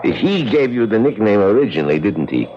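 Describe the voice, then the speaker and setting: An actor playing a kindly, philosophical old uncle in a 1970s radio drama, speaking in a measured, fatherly way. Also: on the low side, big pitch swings. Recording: dull, noisy 1970s radio recording.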